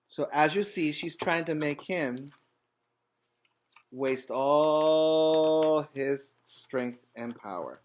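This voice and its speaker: A man's voice: on the high side, drawn-out words. A man reading a storybook aloud as a preschool teacher, with simple, instructive asides to the children.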